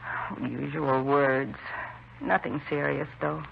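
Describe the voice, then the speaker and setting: An actress playing a dazed, wistful wife of a murdered man, halting and detached, in a 1950s radio drama. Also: sits low, little pitch movement, gravelly, heavily acted. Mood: dazed, polite. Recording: noisy, muffled old radio recording.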